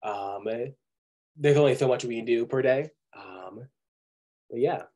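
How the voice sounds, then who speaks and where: A man teaching an online coding class over a video call, sick right now, talking in a relaxed, patient way with many pauses and ums. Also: medium pitch.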